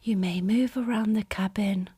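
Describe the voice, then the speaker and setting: A woman performing ASMR as a gentle, reassuring flight attendant, speaking softly with breathy whispers. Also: medium pitch, steady tone, normal speed. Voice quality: soft, breathy whispering and hushed speech. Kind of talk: ASMR roleplay, personal attention.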